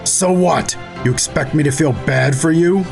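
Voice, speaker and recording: An actor playing a blunt, tough second-in-command soldier in an audio drama, stern and challenging, with a gravelly voice. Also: male voice, a little high, normal speed, music in the background. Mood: firm, challenging.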